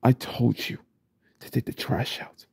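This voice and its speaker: A man performing an ASMR roleplay as a stressed single father, grumbling under his breath and then yelling in anger. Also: unhurried, no echo. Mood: angry. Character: stressed single father, short-tempered, drinking.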